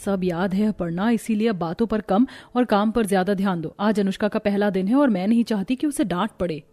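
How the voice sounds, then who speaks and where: A woman's voice: medium pitch, brisk, close to an everyday voice. A woman voicing a responsible, protective elder sister-in-law who instructs the family in a cartoon story. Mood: concerned. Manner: gently instructing.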